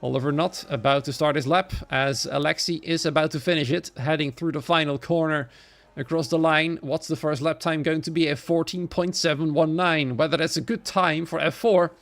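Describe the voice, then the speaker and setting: A man's voice: on the high side, steady tone, moderate pace, a bit bright. A man from the Netherlands with a Dutch accent, a native Dutch speaker, giving live solo commentary on sim racing.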